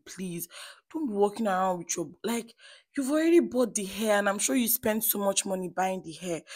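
A woman's voice: lowish voice, no room sound. A woman with a Nigerian Igbo accent giving a chatty beauty tutorial as a vlogger, playful and emphatic as she addresses viewers directly. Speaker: hair stylist and beauty vlogger.

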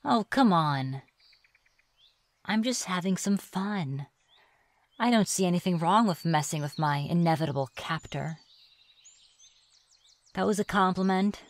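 Woman acting a slow-talking, teasing forest witch. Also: lowish voice, expressive. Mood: playful, pestering. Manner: teasing, musing aloud, coy. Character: playful, teasing reclusive forest witch, sly and mischievous. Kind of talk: ASMR fantasy roleplay. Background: birdsong and forest ambience.

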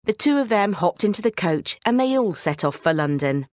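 A woman narrating a scripted children's bedtime story in an expressive storytelling manner. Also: mid-range voice, normal speed, no echo, studio quality.

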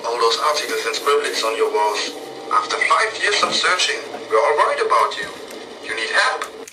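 A man's voice: medium pitch, sing-song, normal speed, natural voice. An actor playing a worried adult male friend in a horror game cutscene, heard distantly with room echo and hiss. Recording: game audio picked up from across a room.

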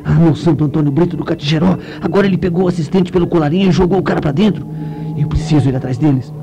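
An actor playing an eager, ambitious, excitable reporter who talks colloquially to himself in a comic radio play. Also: male voice, on the high side, rapid-fire, creaky, music in the background. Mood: excited, sneaking.

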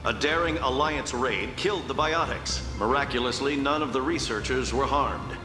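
A man's voice: medium pitch, normal speed, natural voice. A man playing a formal news announcer, reading scripted bulletins in a video game. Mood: newsy. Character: formal male news announcer of a futuristic broadcast. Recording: echoey long reverb, music behind.

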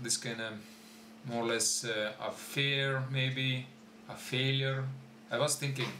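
A man's voice: on the low side, reverberant, noisy background. A young man whose first language is Estonian, talking unscripted to camera at home, hesitant with many fillers and restarts. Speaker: YouTuber. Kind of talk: unscripted vlog talk to camera. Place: small room at home, indoors.

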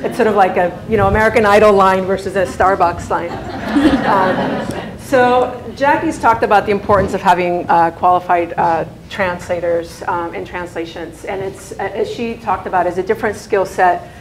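A woman's voice: medium pitch, moderate pace. Spain-raised woman presenting in an echoey hall. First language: Spanish. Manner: conversational, many fillers and restarts. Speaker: conference presenter. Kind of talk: conference presentation. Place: large conference room. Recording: echoey conference room, faint hum.

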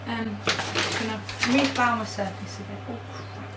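A young woman with a fairly high voice chats informally through a home baking demonstration, poking fun at herself as she goes. The sound is roomy, with the camera some way off and noticeable noise behind her. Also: steady tone, moderate pace. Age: young adult. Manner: informal, self-deprecating, unscripted asides. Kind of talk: casual chatty cooking demonstration. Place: small home kitchen, indoors. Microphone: camera mic a metre or two away. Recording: roomy, distant mic, noisy background.

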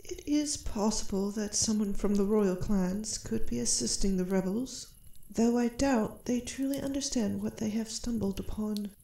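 A woman playing a gracious, shrewd elf queen and mother in a fantasy audio roleplay, speaking softly in regal, measured phrases. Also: mid-range voice, gravelly, close to an everyday voice. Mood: pensive. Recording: roomy echo.

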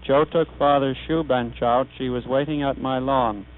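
Man, buzzy synthetic vocoder voice, smooth, measured explaining, tinny old recording with hum. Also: medium pitch, fairly even intonation. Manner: measured, explanatory, recites test sentences. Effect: vocoder-resynthesized voice, buzzy and synthetic. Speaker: engineer demonstrating a speech synthesizer. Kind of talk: technical demonstration. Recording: tinny 1930s archival recording with mains hum.

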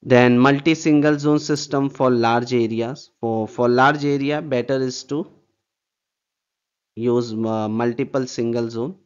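A man's voice: medium pitch, fairly even intonation, a little slow, smooth, highs cut off. A man lecturing on air distribution systems over slides, repeating points and checking in with "okay" and rhetorical questions. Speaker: HVAC instructor. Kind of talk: slide-based technical lecture.

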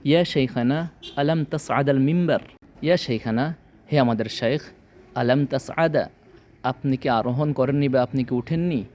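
A man's voice: mid-range voice, measured pace, pauses often, darkish tone. A man giving a scripted language lesson, didactically translating phrase by phrase in small chunks. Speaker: language teacher.

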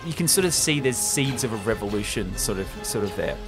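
A man's voice: lowish voice, expressive, a little fast, over music. A young man hosting a podcast, retelling a film script casually and with slangy enthusiasm, close to the microphone. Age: young adult. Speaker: podcast host. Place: small room indoors.

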